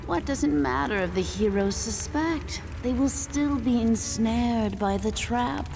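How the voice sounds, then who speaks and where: An actress playing a cool, poised woman in a superhero video game, with a measured delivery. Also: on the high side, creaky, big-room sound, music in the background. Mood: cool, questioning. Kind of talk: video game cutscene acting.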